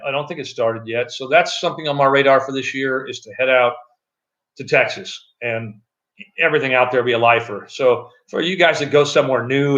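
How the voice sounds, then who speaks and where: A middle-aged man with a loud voice, chatting loosely on a live stream from an echoey room at home, with many fillers and restarts. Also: medium pitch, dull audio. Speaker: channel host. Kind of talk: casual live-stream chat.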